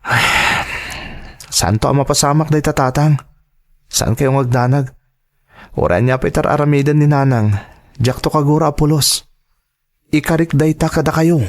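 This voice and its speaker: Earnest young boyfriend for radio drama, male actor, Ilocano Filipino accent, respectful, slightly high pitch. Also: normal speed, pauses often, close to an everyday voice. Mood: concerned, respectful.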